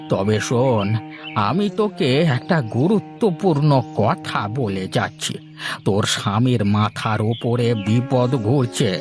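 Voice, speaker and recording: Man putting on a slow, solemn old holy man. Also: medium pitch, voice pushed down, natural voice. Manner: slow, grave, ominous. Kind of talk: Bengali moral-story cartoon voice acting. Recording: music behind the voice.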